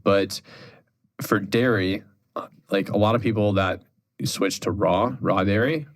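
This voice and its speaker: A young man with a deep, low voice chats easily as a podcast guest. He talks loosely, leaning on "like" and "dude" as he rambles through his points. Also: a little slow. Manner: relaxed, filler-heavy, says "dude" and "like" often. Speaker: podcast guest, holistic health influencer. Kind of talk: casual chat.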